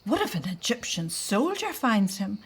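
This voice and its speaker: An actress in a scripted audio drama playing a frightened Hebrew mother, hesitant and often hushed as she talks to her husband. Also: mid-range voice, sing-song, normal speed, breathy edge, full character voice. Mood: frightened, pleading.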